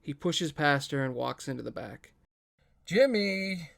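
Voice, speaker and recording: A man's voice: mid-range voice, moderate pace, vocal fry, a bit bright. A man hosting and narrating a scripted table read podcast.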